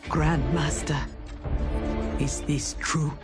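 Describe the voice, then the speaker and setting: Honorable female ninja, fighting game: earnest, measured, formal delivery over a dramatic score. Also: deep voice, fairly even intonation, loud music behind the voice. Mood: shocked. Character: proud, honorable young female ninja warrior, disillusioned. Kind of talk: dramatic video game cutscene acting. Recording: cinematic score under the voice.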